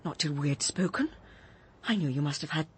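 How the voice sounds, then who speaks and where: A woman's voice: low-pitched, animated, brisk, breathy edge, exaggerated voice. An actress playing a troubled, earnest woman who speaks hesitantly in a British radio drama. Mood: anxious, accusing. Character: troubled, earnest woman gently confronting her friend.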